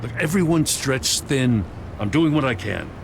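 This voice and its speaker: A man's voice: mid-range voice, animated, a little slow, creaky. An actor voicing a weary, earnest middle-aged male police commissioner in a video game. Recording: game audio with background noise.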